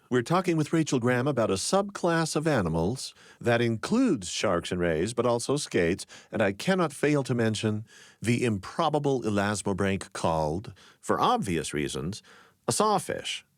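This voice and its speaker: A man hosting a podcast, narrating scripted stories in a wry, expressive way. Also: mid-range voice, no room sound, clean studio sound. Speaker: podcast host.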